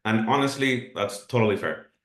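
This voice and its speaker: A man's voice: on the low side, normal speed, a bit bright, echoey room. A man whose first language is Spanish, presenting a live webinar in an earnest, explanatory way. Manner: earnest, explanatory, with filler restarts.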